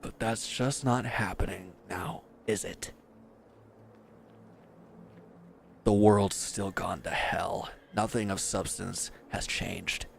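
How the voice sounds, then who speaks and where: A man's voice: fairly low pitch, slow pace, creaky, few pauses, a little hiss or hum, heavily acted. A man playing a bitter, wounded villain who is grieving and accusing, speaking with weary sarcasm in a scripted audio roleplay addressed to the listener.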